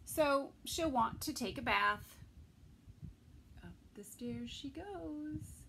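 A middle-aged woman reading a picture book aloud to toddlers, expressively, with pauses between phrases. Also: high-pitched, expressive, creaky, a bit bright, slight background noise. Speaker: children's story time reader. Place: small indoor room with bookshelves.

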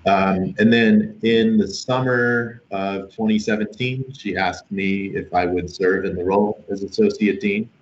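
A middle-aged man with a very deep voice, scratchy from a cold, answers interview questions from home. He talks in a relaxed, modest way with many fillers. The audio is roomy and muffled, like a video call. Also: a little slow, few pauses. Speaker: guest professor. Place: home room.

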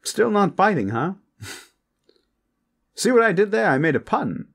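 A man's voice: high-pitched, big pitch swings, slow pace, vocal fry, voice pushed up, full character voice. A man voicing a cocky, lewd male vampire in an audio roleplay, with pompous, bawdy boasting.

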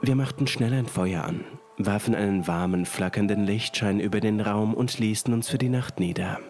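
A young man narrates a scripted horror tale in the first person. His voice is fairly low, with faint music behind it. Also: moderate pace, darkish tone.

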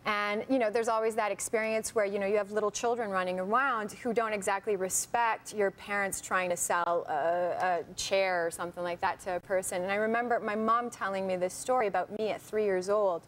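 A young woman from Canada, a guest on a talk show, chatting casually and telling lively stories, recorded on a lapel mic in a television studio. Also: mid-range voice, brisk, hardly any pauses. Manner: animated storyteller, acts out quoted voices. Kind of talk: casual chat.

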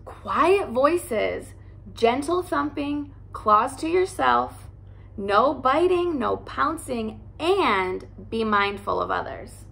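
A young woman, a teacher, reading a children's picture book aloud in an animated, expressive way at home. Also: on the high side, slow, gravelly. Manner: animated, expressive storytelling with character voices. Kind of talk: storybook read-aloud. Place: living room by a fireplace, indoors.

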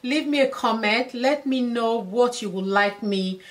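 A woman in her thirties chatting to camera as a fashion vlogger, affectionate and chatty, a little hoarse from losing her voice, recorded in a dressing room by a camera a few steps away. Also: a little high, unhurried. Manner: chatty, affectionate, addresses viewers as darlings. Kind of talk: casual styling talk to camera.

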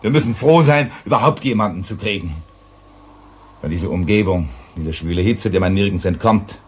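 A man's voice: low-pitched, moderate pace, breathy edge. A man playing a brusque, authoritarian, irascible mining director on Venus in a German radio drama. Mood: indignant. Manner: brusque, commanding, indignant.

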